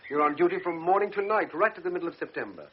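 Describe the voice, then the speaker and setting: An actor playing an earnest, somewhat awkward young cavalry lieutenant who talks politely and hesitantly. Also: male voice, a little high, sing-song, rapid-fire, husky. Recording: dull radio drama recording with faint hiss.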